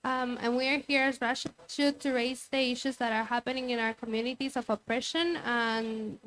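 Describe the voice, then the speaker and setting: A woman interpreting a fellow activist's statement into English, speaking in halting phrases with many fillers. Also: fairly high pitch, fairly even intonation, a bit bright, rough recording. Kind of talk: consecutive interpretation.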